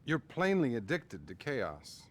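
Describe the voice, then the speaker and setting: An actor playing a male psychiatrist in a video game, formal, measured and condescending with his patient. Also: mid-range voice, huge pitch swings. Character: condescending male psychiatrist, detached, primly judgmental. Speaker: therapist. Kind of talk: acted video game cutscene dialogue.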